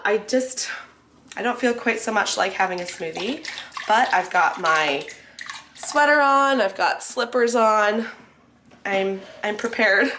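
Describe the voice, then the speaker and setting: A woman's voice: medium pitch, a bit bright. Stuffy-nosed mom chatting away in her kitchen, camera sitting in the cupboard, lots of "like"s. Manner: chatty and rambling, with many "like"s and restarts. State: slightly congested from a lingering cold. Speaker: vlogger mom cooking for her family. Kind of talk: casual cook-with-me chat. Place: home kitchen, indoors. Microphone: camera set in a kitchen cupboard. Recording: clear background room noise.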